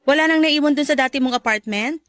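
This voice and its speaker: A woman's voice: high voice, fast talker, a bit bright, dry recording. An actress playing a single mother in love, playful and teasing, in a radio drama. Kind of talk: Tagalog radio drama dialogue.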